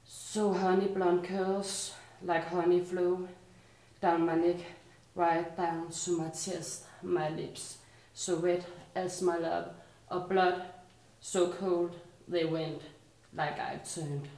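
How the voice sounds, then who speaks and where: Danish-accented woman, poet at a live reading, lowish voice, slow rhythmic delivery with soft line endings. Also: level tone, roomy sound, poor audio. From Denmark. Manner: slow, rhythmic recitation that sinks quieter at line ends. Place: art and culture community venue.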